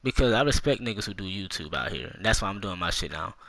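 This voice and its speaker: Forceful, profane man in his twenties. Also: low voice, animated, quick pace, dry recording. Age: 20s. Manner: casual, profane, talks straight to young viewers. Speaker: gaming YouTuber. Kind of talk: casual storytime rant.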